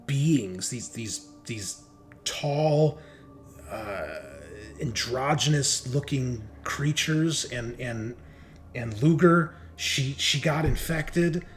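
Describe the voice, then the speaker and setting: A man's voice: medium pitch, a little hiss or hum. A man playing a shaken, dazed crewman just out of cryosleep in a tabletop game, stammering through broken recollections. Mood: distressed, rattled. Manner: halting, stammering, fragmented recollection.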